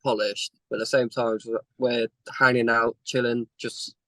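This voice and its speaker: Rambling English band member in a car. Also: male voice, mid-range voice, flat delivery, normal speed, fairly bright tone, dry recording, low-quality recording. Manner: rambling storytelling, chuckles between lines. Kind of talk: casual interview chat. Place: inside a parked car.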